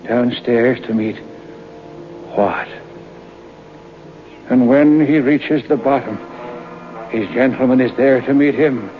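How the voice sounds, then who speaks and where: Old male storyteller, played by an actor, radio horror: grim, suspenseful, unhurried, on thin phone-like vintage audio. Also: medium pitch, soft background music, lightly acted. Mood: grave, suspenseful. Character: old man storyteller recounting a grim ghostly legend. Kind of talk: radio horror drama narration. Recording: tinny, noisy old radio recording.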